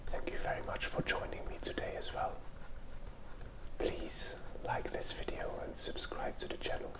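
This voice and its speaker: A person with a cold whispers softly and with pauses, giving ASMR drawing commentary close to the microphone. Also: poor audio. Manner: soft whispering, hesitant pauses. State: has a cold. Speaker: ASMR host and illustrator. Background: drawing tablet and keyboard sounds.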